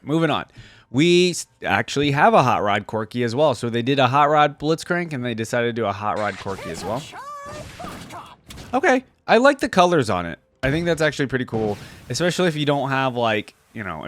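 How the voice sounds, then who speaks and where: A man's voice: mid-range voice, a little hiss or hum. A man giving casual, off-the-cuff reaction commentary on video game skins as a video host.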